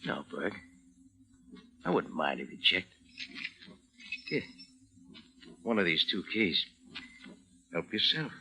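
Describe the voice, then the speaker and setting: An actor playing a guilty, evasive schemer who bluffs in short, hesitant phrases in a 1940s radio drama. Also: male voice, deep voice, sing-song, takes it slowly, long pauses, bright, forceful tone, slight background noise, heavily acted, low-quality recording. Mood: resigned, quiet.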